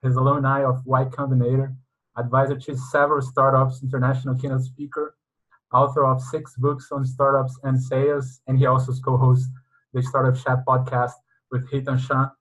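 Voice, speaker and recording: A man's voice: medium pitch, monotone, normal speed, a bit bright, poor audio. A man hosting an interview, giving formal introductions and asking long questions. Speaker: interviewer, sales consultant.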